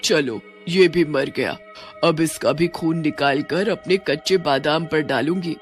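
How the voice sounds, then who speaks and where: A woman's voice: sits low, a little slow, faint music behind, voice pushed down. A woman voicing a menacing, gloating witch who sells almonds and hunts people in a cartoon horror story.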